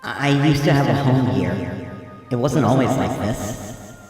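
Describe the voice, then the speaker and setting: A man voicing a sad, timid flying creature from a video game, halting and fearful, through a heavy echo effect. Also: medium pitch, a little mellow. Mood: mournful. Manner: hesitant, nervous, halting. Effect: heavy echoing reverb. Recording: cavernous echo, distant, music behind.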